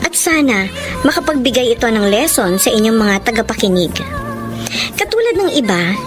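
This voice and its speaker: A woman's voice: on the high side, sing-song, moderate pace, over music. An actress playing a tender, heartfelt young woman in love in a sentimental Filipino radio drama. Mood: wistful. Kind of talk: Filipino radio romance drama acting, letter narration.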